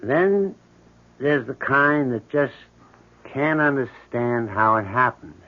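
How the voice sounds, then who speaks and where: A man's voice: mid-range voice, animated, slow. Eerie matter-of-fact handyman, 1970s radio drama; mid-range voice, narrow tinny audio. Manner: casual, matter-of-fact, brooding. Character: odd, morbid building handyman obsessed with ghosts. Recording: thin, tinny old radio broadcast.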